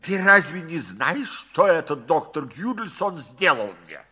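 High, swooping, fussy old gentleman. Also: big pitch swings, unhurried, breathy edge, heavily acted. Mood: aggrieved. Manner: fussy, stammering repetitions. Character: aggrieved, obsessive, stubborn old amateur astronomer gentleman. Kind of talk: Soviet radio play acting. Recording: old radio drama with music underneath.

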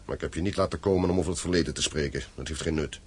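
An actor playing a stern, wealthy mine owner in a radio drama, curt, proud and threatening. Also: male voice, a bass voice, rapid-fire, darkish tone, breathy edge. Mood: indignant, threatening.

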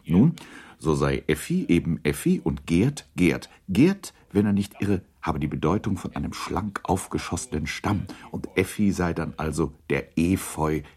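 An actor as a measured, gently ironic narrator of a literary radio drama. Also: male voice, low voice, very animated, breathy edge, heavily acted.

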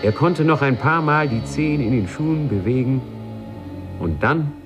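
An actor as a wry, knowing storyteller, narrating a 1950s German radio play in a measured way. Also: male voice, mid-range voice, expressive, close to an everyday voice. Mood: suspenseful, wry. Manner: wry, measured, addresses listeners. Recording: 1950s radio recording, faint music behind.

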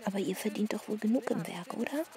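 A woman's voice: mid-range voice, animated, fast talker, warm tone, close to an everyday voice. An actress in a radio play as a bold, breezy and somewhat vain young woman, chatting brashly. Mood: dismissive. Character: breezy, bold, vain teenage girl friend. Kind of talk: German radio play acting.

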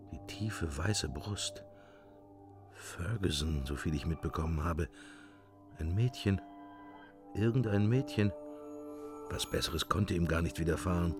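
A fatherly figure in a radio play, fairly low in pitch and pensive. He speaks slowly and haltingly, musing half to himself, with music clearly audible behind him. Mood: musing, tender. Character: fatherly, pensive man keeping watch over a sleeping companion. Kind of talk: literary radio drama acting.

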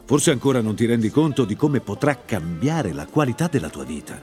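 A man's voice: medium pitch, sing-song, brisk, dark tone, faint music behind, lightly acted. A man playing a pushy, persuasive company manager in a radio drama, talking like a patronising salesman. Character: slick, pushy male company manager selling flexibility. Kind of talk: theatrical radio drama dialogue.

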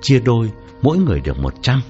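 Guy reading as a jumpy real estate agent, polite and hedging, voice swinging up and down a lot, slightly muffled audio with soft music. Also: mid-range voice, wildly swooping pitch, quick pace, breathy edge. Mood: explaining. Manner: polite, hedging, explaining carefully. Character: middle-aged male property agent, nervous and placating. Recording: slightly dull, faint background music.

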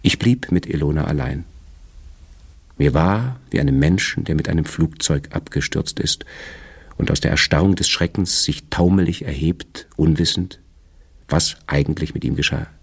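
A man reading a crime novel aloud in a calm, measured way, gravelly voice. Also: rumbling low, dry recording, full character voice. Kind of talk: archive audiobook reading.

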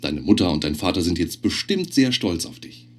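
A low, slightly rough-voiced TV interviewer from the 1960s. He is formal and courteous, with a lively rise and fall. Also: moderate pace. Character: polite, formal male television studio interviewer. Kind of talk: 1960s broadcast interview in a radio drama.

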